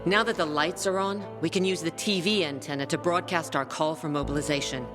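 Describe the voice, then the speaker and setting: An actress playing a brusque, hardened peacekeeper officer in a video game, blunt and matter-of-fact. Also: female voice, low-pitched, steady tone, brisk, lightly acted. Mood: brisk. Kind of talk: video game dialogue acting. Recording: music behind the voice.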